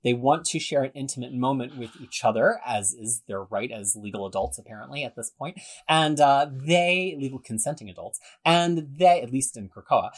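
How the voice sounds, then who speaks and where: A man's voice: mid-range voice, lively intonation, moderate pace, few pauses. A man hosting a podcast discussion, steering the talk and handing over to the panel. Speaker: podcast host.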